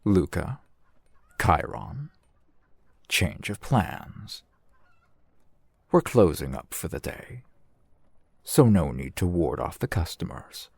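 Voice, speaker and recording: A man acts out a shrewd, courteous male shopkeeper in a fantasy ASMR roleplay. His voice is low and husky, moving through formal, polite phrases with lively rises and falls. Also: no room sound, full character voice. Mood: decisive. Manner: formal, wordy, measured, polite. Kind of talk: ASMR roleplay, scripted character acting.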